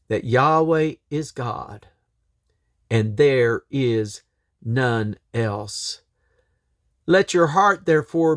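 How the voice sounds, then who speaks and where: A man reading scripture aloud in a solemn, declamatory way, with measured phrases and deliberate pauses. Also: on the high side, no room sound, clean studio sound. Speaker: Bible reader.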